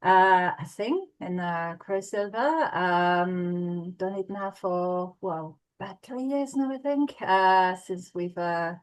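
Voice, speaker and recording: A woman's voice: fairly low pitch, slow, hardly any pauses. French-accented woman singer, hesitant. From France. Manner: hesitant, many ums. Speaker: band singer. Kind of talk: casual interview.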